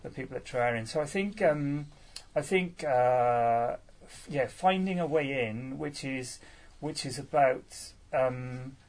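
A man's voice: medium pitch, takes it slowly. A man in his thirties, a poet from Wales, answering audience questions at a live salon, hesitant with many fillers and restarts. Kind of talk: casual live Q&A at a poetry salon.

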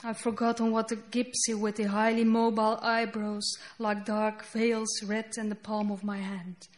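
A woman whose first language is Dutch, speaking English with a Dutch accent as she reads her poetry slowly and deliberately on a festival stage. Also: fairly high pitch, monotone. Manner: slow, deliberate reading with halting English asides. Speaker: poet. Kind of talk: poetry reading. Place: festival stage before an audience.

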